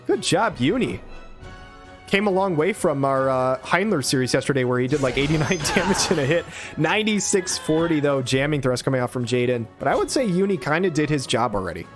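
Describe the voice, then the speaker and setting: Hoarse man casting a game, slightly high voice. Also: over music. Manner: excited, quick play-by-play with exclamations. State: hoarse, recovering from a cold. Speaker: game caster. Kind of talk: play-by-play commentary. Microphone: headset.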